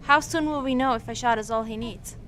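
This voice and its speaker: A woman's voice: fairly high pitch, brisk, fairly bright tone, slight background noise, natural voice. An actress playing an anxious woman survivor at a sickbed in an audio drama. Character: anxious woman survivor watching over a patient.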